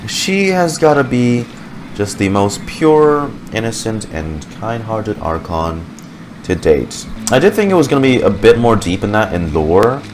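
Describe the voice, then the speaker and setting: A man's voice: fairly low pitch, expressive, measured pace, noisy background. A man giving casual gaming reaction commentary, informal and rambling as he thinks aloud. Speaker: gaming reaction video host. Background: game music.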